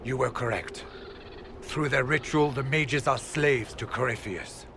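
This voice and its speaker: An actor playing a grave, regretful warrior in a fantasy video game, speaking in a measured way. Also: male voice, mid-range voice, gravelly, background noise.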